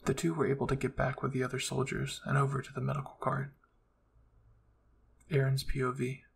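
A man's voice: medium pitch, creaky, a bit bright, slightly rough. A man reading scripted fan fiction aloud as a storyteller. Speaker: storyteller, channel host.

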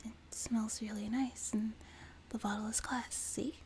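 A woman voicing a sweet, teasing, doting young demon girl in a soft, breathy whispered ASMR roleplay, close to a binaural mic. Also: on the high side, level tone, a little hiss or hum. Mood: caring, soothing. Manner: gentle, slow, teasing, intimate. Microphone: close binaural microphone.

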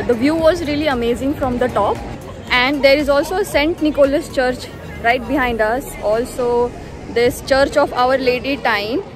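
High-voiced woman vlogging, music behind. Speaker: travel vlogger. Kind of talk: casual travel vlog narration. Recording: music bed under the voice.

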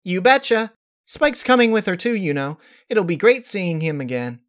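A man voicing a bubbly, blunt female cartoon pony party planner with an excitable manner. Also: high-pitched, moderate pace, pitched above the performer's own voice. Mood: cheerful.

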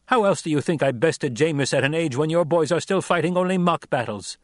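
A man voicing a young male desert leader who speaks forcefully and questions his listeners rhetorically, in an audiobook. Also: a little high, lively intonation, fairly quick, no room sound, studio quality, voice pushed up, natural voice. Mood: commanding. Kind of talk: audiobook character voice.

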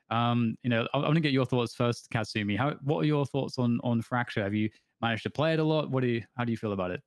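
A man's voice: mid-range voice, no echo, studio quality. A man hosting an interview over a video call, asking long questions full of restarts. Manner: quick, rambling questions with restarts. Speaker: interview host.

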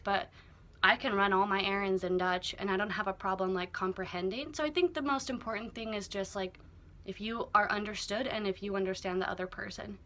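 A young woman chatting casually to camera in a small wood-panelled houseboat cabin, full of fillers and animated asides. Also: mid-range voice. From United States. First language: English. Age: young adult. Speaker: vlogger. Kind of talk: casual vlog chat to camera. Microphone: laptop camera at close range.

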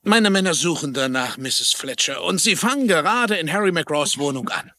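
A man's voice: a little high, animated, moderate pace, sharp tone. An actor playing a skeptical, wry male police lieutenant in a German TV drama dub. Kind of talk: German TV dubbing.

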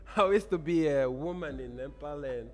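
A man's voice: a little high, normal speed, a little mellow, in a large hall. A young man hosting a casual podcast in a café, laughing often as he talks into a studio mic. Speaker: podcast host. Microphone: studio mic on boom arm.

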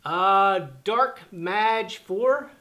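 A man's voice: high voice, drawn-out words, pushed and bright. A man in his fifties chatting casually in a living room, reading fan questions aloud with many fillers and restarts. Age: 50s. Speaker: channel host answering fan questions. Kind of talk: casual chat. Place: living room by a fireplace, sitting on the floor.

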